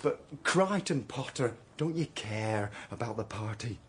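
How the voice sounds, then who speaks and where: An actor playing an eager, ambitious political aide and speechwriter, insistent and rhetorical, in a comic radio drama. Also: male voice, lowish voice, animated, unhurried, husky, full character voice. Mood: exasperated, urging.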